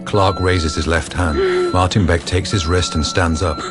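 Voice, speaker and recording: A man's voice: sits low, wildly swooping pitch, measured pace, loud music behind the voice, heavily acted. A man narrating a crime story for a radio drama in grave, scripted present tense.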